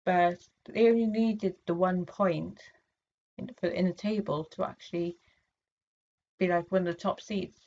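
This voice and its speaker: A woman from England chatting casually to camera in a vlog, her throat a little sore and hoarse, rambling with lots of ums and restarts. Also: on the low side, steady tone, a little slow, mellow, muffled recording. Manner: rambling, many fillers and restarts. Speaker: vlogger.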